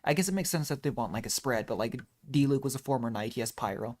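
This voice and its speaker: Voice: medium pitch, expressive, fast, a little mellow. A game streamer giving casual live commentary in a rambling, musing way, drifting into tangents.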